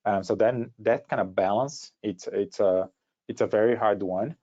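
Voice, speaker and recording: A man with a Brazilian accent presenting an informal webinar in a halting way, often repeating words as he goes. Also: lowish voice, dark tone. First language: Portuguese. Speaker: startup co-founder giving a presentation. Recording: dull, lo-fi online call audio.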